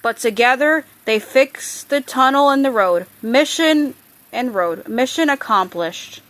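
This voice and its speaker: A woman reading a children's book aloud, expressive on characters' lines and sometimes stumbling and correcting herself. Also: high voice. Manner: halting reader, stumbles and corrects words. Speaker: storybook reader.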